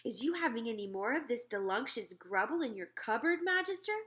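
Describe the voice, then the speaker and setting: Lady doing a big friendly giant from a kids book, excitable, jumbled grammar, really animated and loud. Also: a little high, a little fast. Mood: excited, exclaiming. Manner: garbled grammar and mangled made-up words. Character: huge, excitable, childlike friendly male giant.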